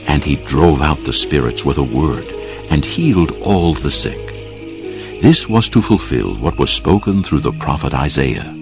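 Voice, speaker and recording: A man giving scripted narration for a dramatised audio Bible in a measured, solemn storytelling manner. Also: extremely low pitch, gravelly.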